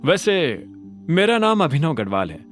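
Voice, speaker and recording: Man playing smooth, confident undercover boss. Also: fairly high pitch, sing-song, a little slow, soft background music. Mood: smooth, assured.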